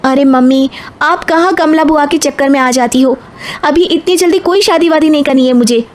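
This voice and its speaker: A woman's voice: high voice, normal speed, pitched above the performer's own voice, natural voice. A woman voicing a young educated, determined bride who speaks up firmly in a moral story. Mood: protesting. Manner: protesting, firm.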